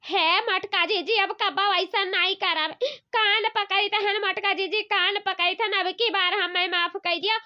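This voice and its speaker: A woman's voice: very high-pitched, steady tone, measured pace, dry recording, highs cut off. A woman voicing a pleading, apologetic village woman in an Awadhi comedy cartoon, whining and repeating her pleas in an Awadhi accent. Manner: repetitive begging.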